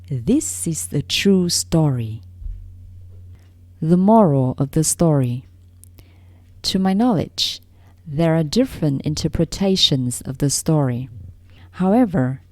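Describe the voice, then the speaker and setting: A woman reading a fairy tale aloud as a read-along for learners, in careful, deliberate phrases with frequent pauses. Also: deep voice, a little mellow, dry recording. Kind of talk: scripted read-along storybook narration.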